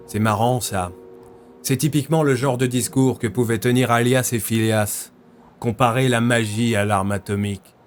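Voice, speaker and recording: A man's voice: mid-range voice, steady tone, normal speed, faint music behind, lightly acted. A man playing a sarcastic, distrustful police inspector in an audio drama, with a dry, blunt way of talking. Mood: skeptical. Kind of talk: French audio fiction acting.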